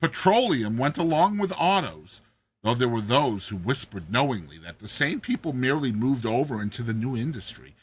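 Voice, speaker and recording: A man giving a theatrical, expressive dramatized reading of a satirical short story as its narrator. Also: medium pitch, moderate pace, a little hiss or hum. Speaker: story narrator, show host.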